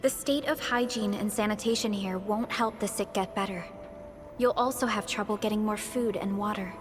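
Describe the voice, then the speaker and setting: An actress playing a composed, reassuring female mission advisor in a video game, speaking in a measured, formal way. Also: medium pitch, lightly acted. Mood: cautious, analytical. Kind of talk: dramatic video game voice acting. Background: game music.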